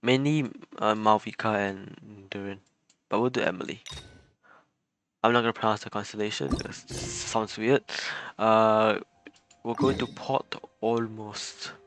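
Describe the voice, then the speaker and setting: A man live-streaming a video game, chatting casually and thinking aloud in a halting way. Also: on the low side, fairly even intonation, a bit bright, slight background noise. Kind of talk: casual live-stream chat while gaming. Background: faint game music.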